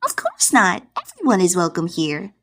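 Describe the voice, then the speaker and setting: A woman's voice: on the low side, huge pitch swings, normal speed, heavily acted. A woman voicing a bubbly hostess with exaggerated customer-service politeness and a testy edge, in an improvised character voice. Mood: polite, testy.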